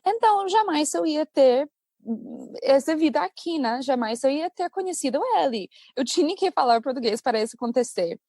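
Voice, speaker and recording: An American woman, a native English speaker and English teacher, chatting as a podcast guest in a lively storytelling manner. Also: high voice, normal speed, no room sound, studio quality. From United States. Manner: chatty, animated storytelling with laughs. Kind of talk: casual podcast conversation.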